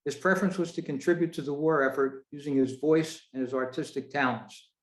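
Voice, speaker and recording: A man's voice: a little high, brisk. A man presenting a prepared talk to a club over a video call, in a roomy-sounding space.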